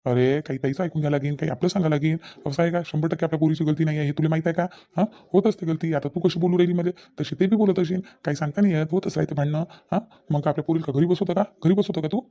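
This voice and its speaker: A man's voice: a little high, steady tone, very fast, few pauses, dark tone, natural voice. A man voicing a defensive, irritable village husband and father in a comedy cartoon, with a rural Vidarbha Marathi accent, arguing and repeating himself. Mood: reasoning, firm. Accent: rural Maharashtrian, Vidarbha. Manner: argumentative, self-justifying, repeats himself.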